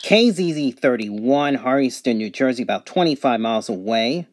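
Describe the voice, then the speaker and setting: A man's voice: medium pitch, expressive, normal speed. A man giving a casual, plain-spoken product review in short, simple statements. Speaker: gadget reviewer.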